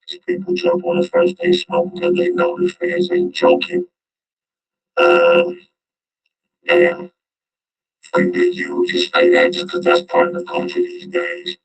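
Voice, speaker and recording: Man, vlog host, heavy vocal fry, casual slangy commentary, faint music behind. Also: very high voice, wildly swooping pitch, poor audio. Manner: slangy, drawn-out street talk.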